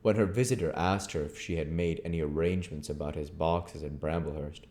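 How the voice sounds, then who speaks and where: A man with a low-pitched voice narrates a story from a book. He reads aloud as an amateur audiobook reader, in the register of storytelling. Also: steady tone, brisk, a little mellow.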